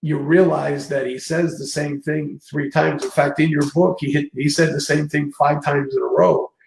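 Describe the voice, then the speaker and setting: A man's voice: a little high, moderate pace, creaky. A man from the Midwest interviewing a guest in a casual chat, telling stories animatedly. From American Midwest. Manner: animated, does lively impressions when retelling. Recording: echoey room.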